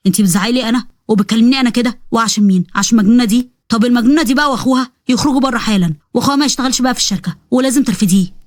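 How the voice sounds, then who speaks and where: A woman's voice: fairly high pitch, racing, dry recording, studio quality, natural voice. A woman with an Egyptian accent voicing a brash, leering young man who talks in a mocking, arrogant way.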